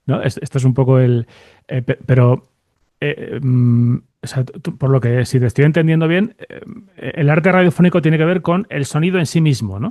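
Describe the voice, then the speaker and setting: A man hosting a conversational interview, phrasing his questions reflectively and haltingly, with frequent tag questions. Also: medium pitch, steady tone, darkish tone, no echo, clean studio sound. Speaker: podcast host, interviewer.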